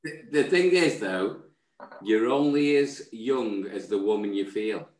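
Bluff co-host in his fifties, low voice, echoey webcam room. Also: animated, measured pace, darkish tone. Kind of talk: casual chat show banter. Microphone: video call webcam.

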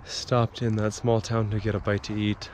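A young man chatting casually to a handheld camera outdoors by a lake, relaxed and chatty. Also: on the low side, normal speed, a little hiss or hum. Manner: relaxed, chatty, excitable when fishing. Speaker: outdoor vlogger. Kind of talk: casual vlog chat. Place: outdoors by a lake among pines. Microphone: camera held at arm's length.

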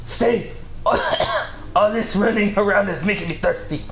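A man's voice: sits high, a little slow, creaky, fairly bright tone, slight background noise, full character voice. Man putting on a blustering bogeyman boss, roomy sound. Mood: blustering, taunting. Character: big blustering male bogeyman boss, taunting then frightened. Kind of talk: mock dubbing of game cutscenes.

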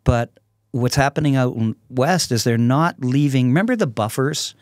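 A man in his sixties speaking casually and reflectively as a podcast guest, in a halting, thoughtful way. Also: mid-range voice, a little mellow, no room sound, clean studio sound. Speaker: podcast guest, artist and songwriter. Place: small podcast studio with curtains. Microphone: close podcast microphone on a stand.

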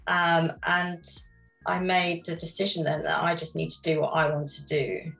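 A middle-aged woman from London, answering interview questions in a measured, reflective way over a video call from her home studio. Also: sits low, steady tone, vocal fry, fairly bright tone, faint music behind, rough recording. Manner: reflective, measured, with frequent ums. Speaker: guest artist. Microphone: video call webcam.

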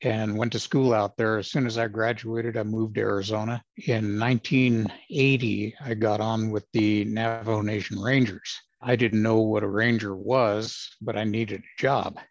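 A man in his sixties, a retired ranger, telling his stories in a measured, plain-spoken way with many fillers. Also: on the low side, flat delivery, no echo, lo-fi sound. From Los Angeles, United States. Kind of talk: casual interview storytelling.

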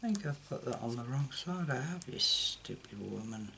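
A woman's voice: very deep voice, animated, moderate pace, creaky, fairly bright tone, slight background noise. An older woman chatting through a card-making tutorial in a casual, self-deprecating way. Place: indoor craft table. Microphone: camera over a desk, hands only.

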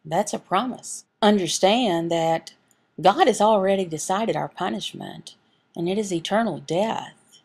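A woman reading a sermon and scripture aloud as a voice interpreter, in measured, halting short phrases. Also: mid-range voice, gravelly, darkish tone.

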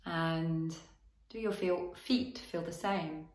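A woman leading a guided body scan as a relaxation teacher, giving gentle instructions in short phrases with long pauses. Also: low voice, roomy sound.

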